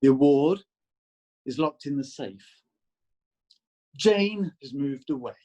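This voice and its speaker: A man playing a sly, dry schemer in a measured, deliberate dramatic monologue. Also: medium pitch, lively intonation, dark tone.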